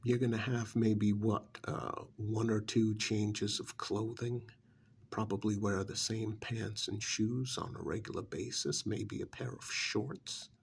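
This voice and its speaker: A man's voice: fairly low pitch, little pitch movement, a little slow, creaky, slightly rough, heavily acted. A man doing an ASMR role-play as a cynical, persuasive recruiter for vampires, sardonic and full of prodding rhetorical questions. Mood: mocking, sardonic.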